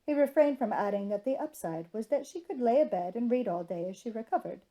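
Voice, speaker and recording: A woman's voice: a little high, animated, a little fast, dark tone. A woman reading scripted fiction narration from a storybook.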